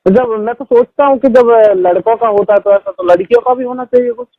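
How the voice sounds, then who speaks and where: Hesitant young man with a gravelly voice. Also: very high-pitched, fairly quick, no echo. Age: young adult. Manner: hesitant, asks questions with many fillers. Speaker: phone caller. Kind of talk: casual phone conversation. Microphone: phone. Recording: muffled phone call recording.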